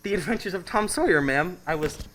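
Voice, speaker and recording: Man doing a fussy old apprentice angel for a radio play, super polite and eager, bright lively voice. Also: sits high, a little fast, a little hiss or hum, poor audio.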